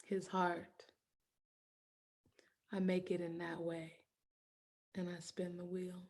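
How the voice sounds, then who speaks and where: A woman playing an anguished, fiercely loving enslaved mother in a dramatic stage monologue, speaking emphatically with heavy pauses. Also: fairly low pitch, completely flat delivery, a little mellow. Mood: tender, musing. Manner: slow, emphatic, repetitive delivery with dramatic pauses.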